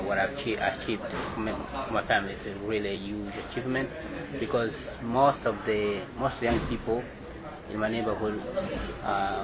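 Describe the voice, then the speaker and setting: Man answering over loud crowd. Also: on the low side. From Angola. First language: Portuguese. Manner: halting, many restarts and fillers. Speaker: interviewee. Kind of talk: casual interview answers. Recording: dull lo-fi sound with loud crowd noise.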